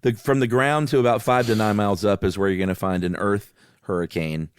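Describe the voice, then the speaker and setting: Deep, smooth-voiced man in casual chat. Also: steady tone, fairly quick. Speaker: podcast co-host.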